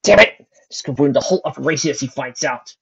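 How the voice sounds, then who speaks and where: A man voicing a gruff adult stallion in a brash, loud manner, on a dull lo-fi recording. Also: mid-range voice, steady tone, fast talker.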